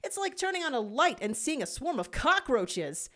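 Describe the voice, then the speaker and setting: A woman voicing rowdy, cocky young jackal fighters in an animated storybook reading. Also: high-pitched, moderate pace, pitched above the performer's own voice, exaggerated voice. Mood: cocky, excited.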